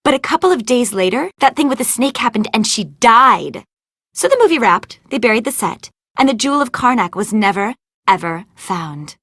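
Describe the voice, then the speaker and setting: A ditzy, fame-hungry young actress from an adventure game. Her voice is high and wildly expressive, with a dry, close studio sound. She gushes theatrically, like a gossip in love with herself. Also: normal speed, no room sound. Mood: gossipy. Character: vain, ditzy, dramatic young movie actress, scheming for fame. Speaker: video game voice actor. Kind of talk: animated adventure game dialogue.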